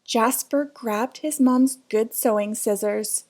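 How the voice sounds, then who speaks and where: A woman's voice: a little high, slow pace, darkish tone. A woman reading a children's picture book aloud in a dramatic, suspenseful way, drawing out words. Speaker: storybook reader.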